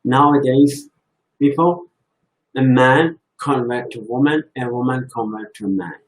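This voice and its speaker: Slow, halting man. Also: medium pitch, slight background noise, low-quality recording. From Iran. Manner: slow, halting, thinking aloud. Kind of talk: reflective religious talk.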